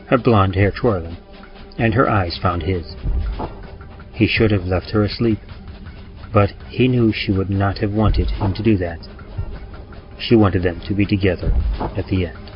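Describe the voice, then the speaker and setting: Deep guy reading a horror story like old radio drama, music under it. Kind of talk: dramatic scripted narration, old-time radio style. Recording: muffled recording with music. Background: music bed.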